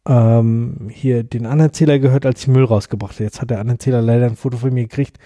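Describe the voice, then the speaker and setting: A man with a very dark, husky voice who co-hosts a casual podcast. He backs his partner up with short replies and explains things slowly. The recording is close and dry. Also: medium pitch, steady tone, no echo. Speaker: podcast co-host.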